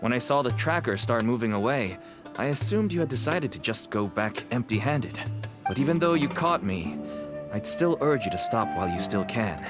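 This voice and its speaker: رجل يؤدي تاجراً مراوغاً بنبرة متقلبة. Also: male voice, mid-range voice, moderate pace, music in the background, close to an everyday voice. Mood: earnest. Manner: wheedling, persuasive, lively. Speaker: father. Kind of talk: video game voice acting.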